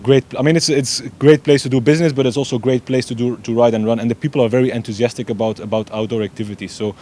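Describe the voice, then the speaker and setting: Fast-talking young man. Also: medium pitch, steady tone, a little mellow. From Belgium. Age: young adult. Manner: eager, repeats "yeah" often, loose non-native grammar. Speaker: race director guest. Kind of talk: casual interview chat.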